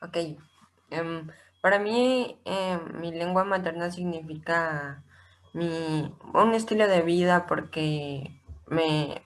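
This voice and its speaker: Hesitant teenage boy from Mexico City. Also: on the high side. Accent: central Mexican Spanish. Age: teens. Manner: hesitant, many fillers. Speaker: student. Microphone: computer mic on a video call.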